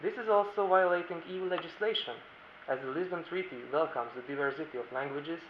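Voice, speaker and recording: A man's voice: fairly high pitch, quick pace. A man from Slovakia whose first language is Hungarian, a lawyer giving a formal prepared presentation at a roundtable and reading from his notes. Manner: formal, reads from notes, careful non-native phrasing. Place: conference room. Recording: echoey room, dull sound, faint hiss.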